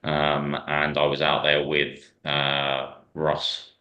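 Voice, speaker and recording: A man from Ireland, a racing driver being interviewed, telling stories in a rambling way with many pauses and restarts. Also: very deep voice, completely flat delivery, bright, forceful tone, lo-fi sound. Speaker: hill climb driver, guest. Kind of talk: casual interview chat.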